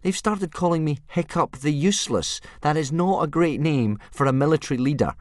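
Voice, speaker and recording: A man's voice: fairly high pitch, normal speed, dry recording, clean studio sound, pitched above the performer's own voice, natural voice. A man voicing a gloomy, put-upon young Viking boy who talks in a sulky, complaining way.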